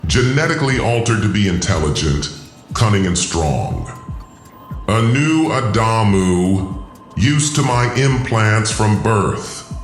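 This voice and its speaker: A man's voice: fairly low pitch, sing-song, slow pace, pitched above the performer's own voice, full character voice. A man voicing a cruel, grandiose alien warlord in an audio drama, commanding, theatrical and gloating. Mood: commanding, eager. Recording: echoing voice over music.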